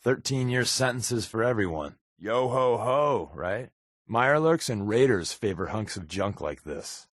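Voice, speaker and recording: An American man playing a wisecracking, sly undercover agent in a video game, talking in casual quips with a deadpan, sardonic manner. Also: on the low side, a little slow, no echo, studio quality. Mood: dry, playful. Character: wisecracking, sly adult male undercover agent, habitual fibber. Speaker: companion character in a video game.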